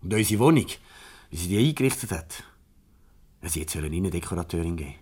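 An actor playing a rueful, flustered husband in a Swiss German radio comedy from the 1970s, grumbling, defensive and hesitant. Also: male voice, deep voice, lively intonation, fast talker, dark tone, slightly rough. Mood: weary, wistful. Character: rueful, flustered husband Peter in marital crisis.

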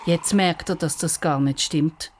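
A woman's voice: low-pitched, a little slow. A woman narrating a scripted children's story in Swiss German as the storyteller.

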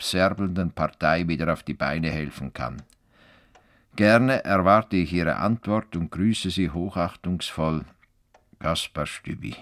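A stubborn older Swiss farmer in a radio drama. He speaks slowly and deliberately with a Swiss German accent. He sounds earnest and formal, an idealist with grand plans. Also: deep voice. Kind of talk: radio play acting.

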